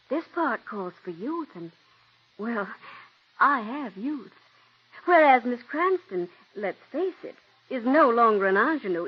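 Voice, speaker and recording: An actress playing a young, ambitious stage actress who pleads tearfully and breathlessly, in 1940s radio drama style. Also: female voice, on the high side, husky, full character voice. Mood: haughty. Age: young adult. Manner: breathless, pleading, breaks into sobs. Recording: dull old radio broadcast.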